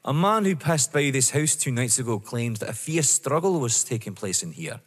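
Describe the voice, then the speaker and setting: In a reverberant hall, a man plays a detective inspector in an audio drama. He is courteous and deferential but keeps probing, with measured phrasing and widely swinging intonation. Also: mid-range voice, lively intonation, natural voice. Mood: insistent, polite. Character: polite, persistent male British police detective inspector.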